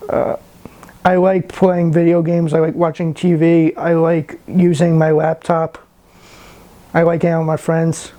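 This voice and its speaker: A man's voice: fairly high pitch, completely flat delivery, unhurried, mellow. A man in his twenties introducing himself and listing what he likes in short sentences. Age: 20s. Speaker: college student. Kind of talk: self-introduction to camera.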